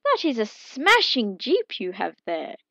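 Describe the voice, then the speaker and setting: A woman voicing a big male monster who is overly polite, high and shrill. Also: wildly swooping pitch, measured pace, pushed and bright, muffled recording, faint music behind, heavily acted. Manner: overly polite, then shrieking in panic.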